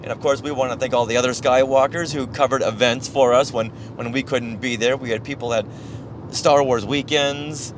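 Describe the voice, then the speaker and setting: A man's voice: medium pitch, fairly even intonation, moderate pace, background noise. A man with an American accent co-hosting a podcast in casual, chatty style. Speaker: podcast co-host. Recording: music behind the voice. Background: music.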